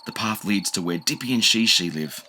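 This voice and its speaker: A man narrating a children's audiobook in a gentle, expressive storytelling manner. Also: lowish voice, moderate pace, a little hiss or hum. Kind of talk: children's audiobook read-aloud.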